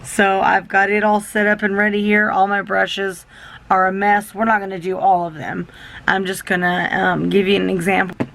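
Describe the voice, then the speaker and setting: Chatty young woman beauty vlogger, a bit sick and gravelly, talking fast and rambling. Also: medium pitch, flat delivery, a bit bright, a little hiss or hum, rough recording. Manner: rambling, self-interrupting, enthusiastic. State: a little sick, losing her voice. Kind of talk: chatty product unboxing.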